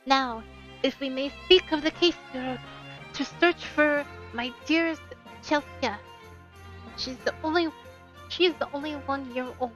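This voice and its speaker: Woman putting on a high-voiced, stately queen. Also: moderate pace, fairly bright tone. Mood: gracious, formal. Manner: formal, courtly. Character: gracious, stately queen of England. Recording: dull lo-fi stream audio with game music.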